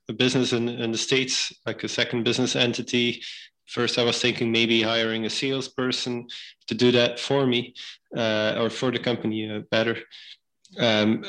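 Belgian-born founder, bright flat-toned guest, halting delivery. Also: male voice, medium pitch, low-quality recording. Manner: hesitant, many fillers and restarts. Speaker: guest, game company founder. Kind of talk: casual interview talk.

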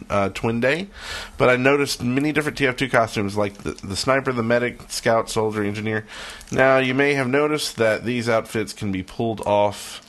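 A man's voice: medium pitch, a bit bright. A man co-hosting a podcast, reading listener emails aloud in a loose, off-the-cuff way. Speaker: podcast co-host.

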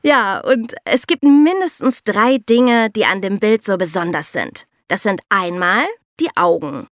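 A woman's voice: mid-range voice, lively intonation, measured pace, no room sound, studio quality. A woman co-hosting an educational podcast for children, explaining things conversationally and addressing listeners directly. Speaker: podcast co-host explaining history.

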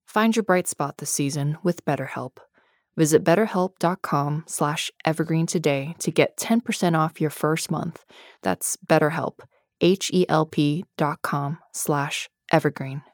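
A woman's voice: deep voice, measured pace, no echo. A woman reading a scripted podcast advertisement close to the mic. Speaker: advertisement reader.

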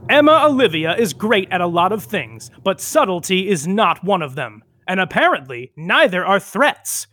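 A man's voice: high-pitched, very animated, moderate pace, pushed and bright, faint music behind. A man narrating an audio soap opera and reading its credits in a hammy, mock-dramatic announcer manner. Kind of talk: theatrical scripted narration and credits.

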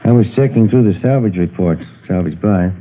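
An actor with an American accent playing an observant, matter-of-fact police detective in a 1950s radio drama, businesslike, on a muffled old recording. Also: male voice, low-pitched, a little fast.

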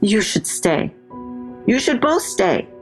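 A woman's voice: mid-range voice, very animated, slow, faint music behind. A woman playing an older, ailing mother in an audio drama, fond and blunt as she teases her grown daughter. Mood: gently insistent. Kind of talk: full-cast audio drama acting.

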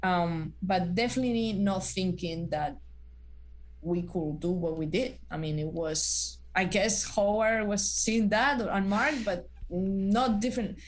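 A woman in her thirties, a former college athlete, telling stories as a guest in a casual interview, halting with many fillers and restarts. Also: mid-range voice, poor audio.

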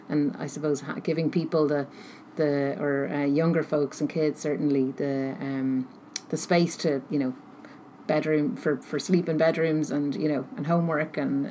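A woman answering interview questions thoughtfully, pausing often as she searches for her words. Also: low voice, level tone, mellow, poor audio. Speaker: interview guest, technology researcher. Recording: noticeable background noise.

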